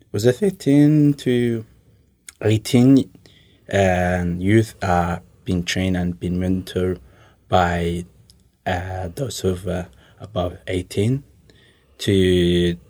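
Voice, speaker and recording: Low, even-voiced young man. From Somalia. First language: Somali. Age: 20s. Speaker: interview guest. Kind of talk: informal interview answers.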